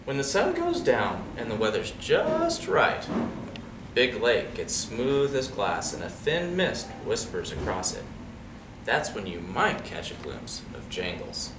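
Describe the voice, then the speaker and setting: A man reading a picture book aloud to children in an expressive, conversational way, often turning to ask them questions. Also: mid-range voice, takes it slowly. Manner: conversational, checks in with young listeners using questions. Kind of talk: expressive storybook read-aloud with casual asides. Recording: roomy, distant mic with clear background noise.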